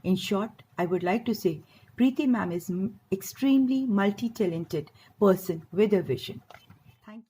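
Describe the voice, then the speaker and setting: A woman's voice: mid-range voice, animated, normal speed, warm tone, husky, poor audio. A woman French teacher giving a formal, appreciative testimonial. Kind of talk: recorded testimonial.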